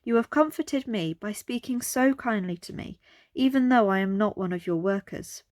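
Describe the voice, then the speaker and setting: A woman's voice: medium pitch, normal speed, darkish tone, natural voice. A woman playing a humble, grateful young widow in a dramatised Bible reading.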